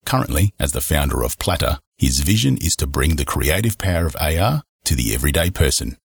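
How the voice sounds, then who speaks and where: A man hosting a podcast, reading a formal, measured introduction and interviewing a guest. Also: rumbling low, sing-song, gravelly, breathy edge, no room sound, clean studio sound. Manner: formal, measured, read-out delivery. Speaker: podcast host.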